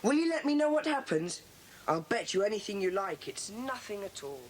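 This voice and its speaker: A sceptical English schoolboy who leads his club played by an actor in a children's audio drama. He sounds confident, bossy and dismissive, at a middling pitch. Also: big pitch swings, moderate pace, over loud music, close to an everyday voice.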